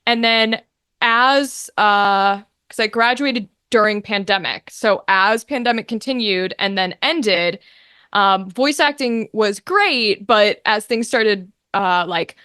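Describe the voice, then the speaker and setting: A young woman chatting casually as an interview guest, telling her story in an animated, informal way. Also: mid-range voice, slow pace, clean tone, dry recording, clean studio sound. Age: young adult. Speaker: guest, voice actor.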